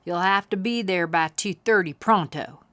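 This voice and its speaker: A woman's voice: lowish voice, moderate pace, pushed and bright, no room sound. A woman voicing a friendly, encouraging cowboy uncle in a children's storybook. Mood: instructing.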